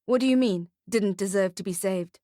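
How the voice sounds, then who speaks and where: Woman acting a gentle, encouraging Santa man. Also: mid-range voice, moderate pace, no room sound, studio quality, natural voice. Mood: puzzled. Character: kindly man working as Father Christmas.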